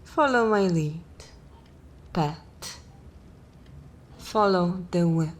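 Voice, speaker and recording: A woman voicing a haughty, cruel, centuries-old vampire mistress in a dark fantasy ASMR roleplay, speaking in a formal, commanding and disdainful manner. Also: on the low side. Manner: imperious, slow, disdainful, formal wording.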